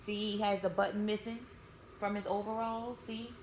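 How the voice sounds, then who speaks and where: A woman reading a storybook aloud to young children, expressive, pausing to explain and ask simple questions. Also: medium pitch. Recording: echoey room with background noise.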